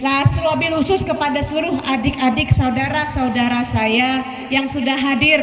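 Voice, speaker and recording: A woman's voice: high-pitched, steady tone, normal speed. A woman around thirty giving a chatty, teasing speech to students at a school visit. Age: about 30. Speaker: guest speaker visiting a school. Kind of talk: lively speech to students, joking and quizzing. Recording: echoey hall with crowd noise.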